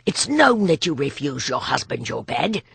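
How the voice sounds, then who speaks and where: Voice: medium pitch, very animated, gravelly, fairly bright tone, husky, dry recording, exaggerated voice. A reader doing an urgent lovesick man. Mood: pleading. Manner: hurried, pleading. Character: young man, lovesick Roman, urgent and pleading.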